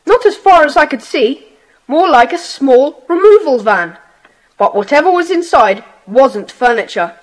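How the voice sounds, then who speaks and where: A woman playing an earnest, adventurous British schoolboy in a children's audio drama, speaking in an animated way. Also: sits high, a little slow, rough recording. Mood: earnest, intrigued. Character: earnest, adventurous schoolboy telling his friends things.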